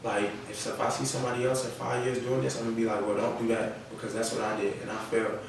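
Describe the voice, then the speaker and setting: A young man chats casually in slang, as a guest being interviewed. His delivery stays fairly flat and gravelly. He is heard off the mic in a noisy room. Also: mid-range voice, fast, very echoey, recorded from a distance. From North Carolina, United States. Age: young adult. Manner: slangy, often checks with "you feel me".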